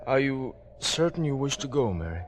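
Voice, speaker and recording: An actor playing a gentle, worried father who speaks formally and hesitantly in an old-time radio drama. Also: mid-range voice, very animated, normal speed, natural voice. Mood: worried, fond. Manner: hesitant, courtly phrasing. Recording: faintly noisy old radio recording.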